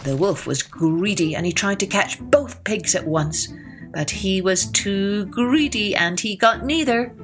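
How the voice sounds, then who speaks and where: A woman narrating a scripted children's tale as a storyteller, with expressive, deliberate phrasing. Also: mid-range voice, faint music behind. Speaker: storyteller and podcast host.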